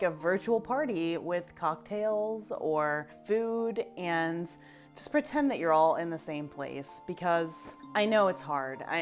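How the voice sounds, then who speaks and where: A woman in her thirties with a low voice, chatting casually while doing her makeup for a vlog, upbeat and self-deprecating. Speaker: vlogger. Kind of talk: casual get-ready-with-me vlog.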